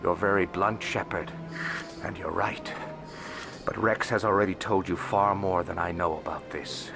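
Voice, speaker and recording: Game casting: shrewd alien financier and information dealer, low register, formal and discreet, music underneath. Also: male voice, fairly even intonation, measured pace, creaky. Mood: apologetic, guarded. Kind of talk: video game dialogue acting. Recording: music behind the voice.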